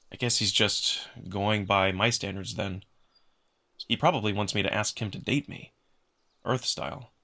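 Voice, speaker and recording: A man's voice: on the low side, vocal fry, sharp tone. A man giving a dramatic first-person narration of a visual novel, acting as narrator. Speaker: narrator and voice actor.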